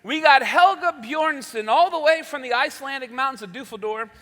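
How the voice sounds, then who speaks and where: A man's voice: very high voice, brisk, fairly bright tone. A man playing a genial, rambling veteran host of an old-time radio show on stage. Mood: showy. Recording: echoey hall with long reverb.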